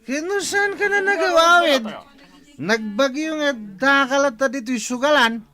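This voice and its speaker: A man's voice: very high-pitched, animated, a little slow, bright, forceful tone, heavily acted. A man acting a brash, argumentative son with an Ilocano accent in a radio comedy drama. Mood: confrontational. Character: brash, argumentative grown son defying his father.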